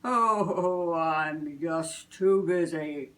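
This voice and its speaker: A woman's voice: low voice, very slow. A woman voicing Santa Claus as a jolly, busy old man with a wistful streak, in a storybook reading. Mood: wistful, weary.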